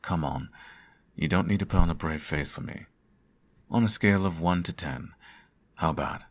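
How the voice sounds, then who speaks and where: A man playing a sardonic, theatrical supervillain who fusses over his nemesis in an ASMR audio roleplay, his voice slightly husky. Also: low-pitched, normal speed. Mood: concerned, wry. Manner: theatrical, teasing, sarcastic.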